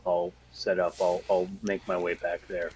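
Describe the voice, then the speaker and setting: A man's voice: low-pitched, level tone, measured pace, darkish tone, husky, poor audio. A man playing a tabletop role-playing game, chatting casually and offhandedly about what his character will do. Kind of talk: casual table chat, planning character actions.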